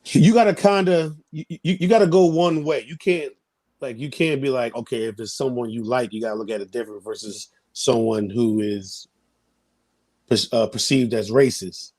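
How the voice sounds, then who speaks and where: A man in his thirties giving opinions in a casual interview, halting and repeating phrases as he builds his point. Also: mid-range voice, a little mellow. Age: 30s. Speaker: interview guest.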